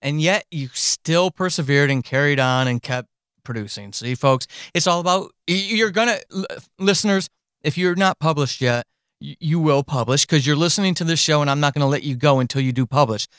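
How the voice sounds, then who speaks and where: Higher-voiced man hosting a podcast, dry sound. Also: fairly quick, a bit bright, clear, resonant tone, no room sound. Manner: encouraging, addresses listeners directly. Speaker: podcast host and fiction author. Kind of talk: casual podcast interview.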